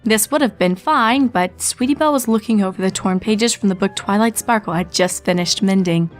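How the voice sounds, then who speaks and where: A woman reading a fan story aloud as narrator, with steady storytelling narration. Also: mid-range voice, brisk, faint music behind. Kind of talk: fanfic audiobook reading.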